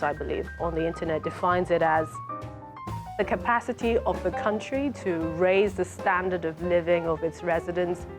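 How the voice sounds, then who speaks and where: A woman, an author reading from her book and commenting on it in an explanatory, measured way. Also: fairly low pitch. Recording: music playing behind the voice. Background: music.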